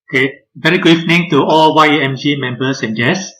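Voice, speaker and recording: A man, giving a measured webinar presentation over a video call, pausing often on "uh". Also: fairly high pitch, fairly bright tone, a little hiss or hum. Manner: measured, frequent "uh" hesitations. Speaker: guest speaker.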